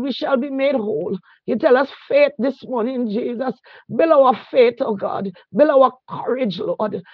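Man praying out loud, super high voice, pleading, dull dry radio sound. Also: dry recording. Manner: fervent, pleading, ends each phrase with a softer "oh God" or "Lord". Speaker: prayer leader. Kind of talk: spontaneous spoken prayer.

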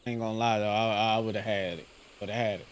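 A man's voice: lowish voice, level tone, brisk, slight background noise. A man with an American accent giving casual let's play reactions as a gaming streamer, blunt and slangy.

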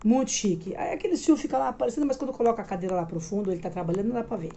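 A woman's voice: mid-range voice, very fast, mellow, a little hiss or hum. Chatty Brazilian woman vlogging from bed. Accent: Brazilian Portuguese, Minas Gerais. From Minas Gerais, Brazil. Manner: chatty, loose, addresses viewers as galera. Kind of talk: casual home vlog chat. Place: home bedroom, lying in bed. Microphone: phone camera held close.

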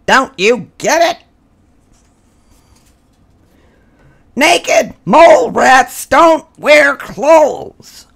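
A woman's voice: high-pitched, expressive, very slow, creaky, pitched above the performer's own voice, exaggerated voice. A woman voicing sarcastic, disgusted naked mole rats in an exaggerated, scornful way for a children's storybook. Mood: exasperated. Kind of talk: children's storybook read-aloud.

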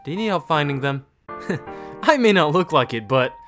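Woman acting low-voiced young man, very expressive. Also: moderate pace, faint music behind. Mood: gentle, reassuring. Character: tough teenage and adult men, deadpan to goofy. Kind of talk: amateur comic dub character acting.

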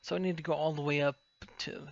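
A man gives casual video game commentary in a slightly high, creaky voice. His intonation stays flat, and there is clear noise with game ambience behind him. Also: fast talker, lo-fi sound. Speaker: gamer narrating a roleplay playthrough.

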